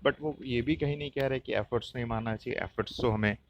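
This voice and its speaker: A man's voice: medium pitch, fast, slight background noise. An Indian man hosting a casual solo podcast, explaining things in a hesitant way and often repeating his points. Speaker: podcast host.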